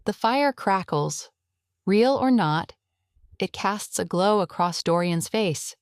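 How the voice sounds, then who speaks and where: A woman narrating a scripted audio drama in a measured, atmospheric storytelling manner. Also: medium pitch, expressive, clear, resonant tone, dry recording, clean studio sound.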